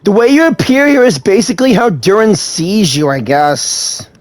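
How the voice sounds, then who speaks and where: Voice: medium pitch, expressive, moderate pace, lightly acted. An actor playing an easygoing young traveler in a video game, casual and dryly teasing. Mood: wry. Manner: casual, wry.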